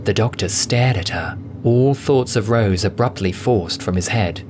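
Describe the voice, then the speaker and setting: A man's voice: on the low side, unhurried, background noise. A man narrating a scripted story as the narrator of an audio drama. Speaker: narrator, voice actor. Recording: music bed under voice. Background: music.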